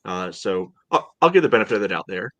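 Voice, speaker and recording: A man co-hosting a podcast, talking through sports analysis casually in a measured, hedging way full of "you know". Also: on the low side, very animated, a bit bright, dry recording. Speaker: podcast co-host.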